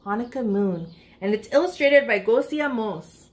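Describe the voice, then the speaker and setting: A woman's voice: a little high, normal speed. An American woman, around her thirties, reading aloud expressively as the host of a children's storytime. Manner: addresses young listeners, expressive picture-book reading.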